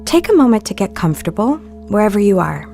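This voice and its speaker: An actress playing a serene, earnest film star who leads a guided meditation in a soft, soothing, deliberate voice for an audio play. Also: female voice, mid-range voice, very animated, vocal fry, faint music behind.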